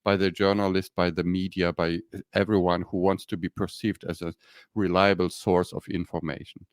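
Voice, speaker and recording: Podcast co-host, man, Polish German accent, deep smooth voice, hesitant, dry close mic. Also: little pitch movement, normal speed, dry recording. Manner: hesitant, many ums and restarts. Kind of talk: casual discussion.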